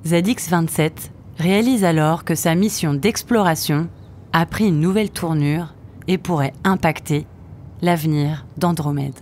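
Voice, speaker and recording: A woman's voice: sits low, a little slow, background noise. A woman narrating a scripted science-fiction audio story.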